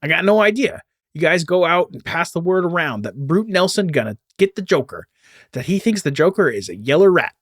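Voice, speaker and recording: A man's voice: on the high side, animated, brisk, a bit bright, dry recording, studio quality, voice pushed up. A man voicing a tough, slangy henchman in a comic-book gang. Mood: scheming.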